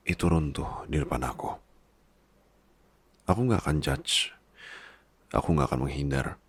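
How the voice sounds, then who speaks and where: Casting: male actor as nagging yet tender boss husband, extremely low gravelly voice, intimate ASMR roleplay. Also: exaggerated voice. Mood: tender, reassuring. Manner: teasing, nagging, tender, slips into English. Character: bossy, scolding but doting big-baby boss husband.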